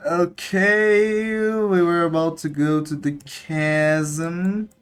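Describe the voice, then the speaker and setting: Slow-talking game streamer, halting English speaker. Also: mid-range voice, fairly even intonation, clear, resonant tone, faint music behind. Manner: halting, searching for words. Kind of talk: casual livestream chat over gameplay.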